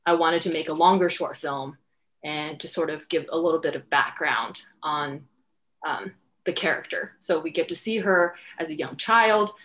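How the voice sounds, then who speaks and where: A woman's voice: low voice, fairly bright tone. A woman hosting an informal panel Q&A, speaking reflectively and searching for words. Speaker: writer and director hosting a panel. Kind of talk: informal Q&A talk. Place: indoor room at home, video call. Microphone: headset on a video call.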